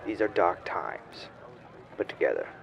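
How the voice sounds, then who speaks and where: An actor playing a solemn, resolute corporate leader giving a measured, pompous public address in an audio drama. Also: male voice, deep voice, huge pitch swings, creaky, muffled recording, a crowd in the background, full character voice. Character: solemn, resolute male corporate head of state.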